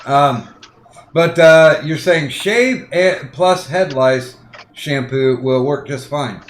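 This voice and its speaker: A man in crude casual podcast banter who hesitates a lot, with frequent ums and restarts, and delivers the jokes deadpan. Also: on the high side, slow pace.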